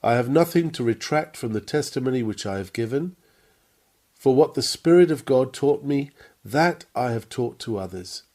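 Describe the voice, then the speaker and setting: A man reading an old sermon aloud from a script, with earnest, measured and formal oratory. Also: medium pitch, lively intonation, a little mellow. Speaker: reader of a historical sermon.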